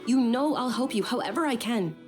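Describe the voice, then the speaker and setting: A woman playing a caring, insistent, well-bred young lady in a video game, earnest and expressive in her delivery. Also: on the high side, a little fast, over music, lightly acted. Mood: concerned, insistent.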